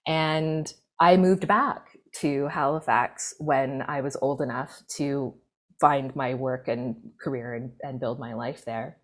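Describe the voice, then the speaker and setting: A young Canadian woman speaking on a conference panel, measured and earnest, with deliberate phrasing. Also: rumbling low, fairly even intonation.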